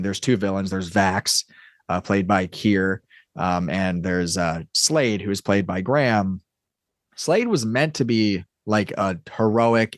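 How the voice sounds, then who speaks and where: A man's voice: lowish voice, no room sound, clean studio sound. A man speaking casually and explaining things as an interview guest who creates audio drama.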